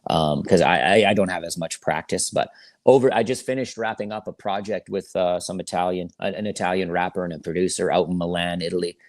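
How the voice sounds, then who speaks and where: A man's voice: low-pitched, fairly even intonation, fairly quick, dry recording, studio quality. A man chatting casually as a musician guest in an interview, with laid-back, slangy phrasing. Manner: laid-back slangy talk, frequent "you know".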